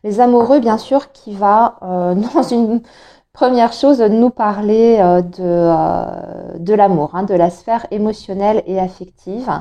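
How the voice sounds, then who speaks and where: A woman talks through a monthly tarot spread, her voice soft and mellow. A cold has left her throat a touch hoarse. She hesitates often and fills gaps while she explains each card. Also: mid-range voice, slow. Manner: hesitant, many filler sounds, explanatory. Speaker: tarot reader. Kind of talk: monthly astrology tarot reading.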